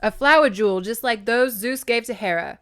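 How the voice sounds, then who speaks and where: A woman's voice: fairly high pitch, moderate pace, a bit bright, natural voice. A woman voicing an anguished, defiant goddess mother in an emphatic, dramatic way, as in an audiobook.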